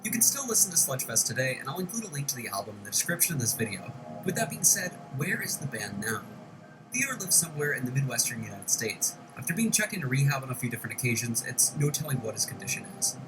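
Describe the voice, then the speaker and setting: Lively scripted male narrator over music. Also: mid-range voice, quick pace, rough recording. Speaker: video essay narrator. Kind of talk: scripted documentary-style narration.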